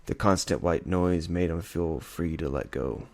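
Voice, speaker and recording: A male audiobook reader with a low-pitched voice. He delivers scripted fiction as dramatic narration. The recording is dry, with hardly any room sound. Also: unhurried, dry recording.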